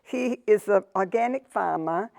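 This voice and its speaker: A woman hosting a small talk show, chatting casually and introducing her guests warmly. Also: mid-range voice, unhurried, creaky. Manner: chatty and encouraging. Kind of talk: casual interview chat.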